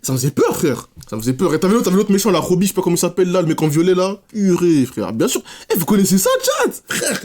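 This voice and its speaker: A young man reacts live for his chat in casual, slangy language. His voice sits a little high and swings around a lot in pitch. He talks quickly and breaks into exclamations. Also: huge pitch swings, darkish tone. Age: young adult. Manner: slangy, exclamatory street talk.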